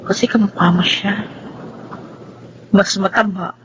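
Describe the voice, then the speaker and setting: A woman's voice: mid-range voice, fairly even intonation, measured pace, close to an everyday voice. An emotional young woman in love played by a woman in a radio melodrama. She pleads and clings, with faint ambient noise behind her. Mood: pleading, tender.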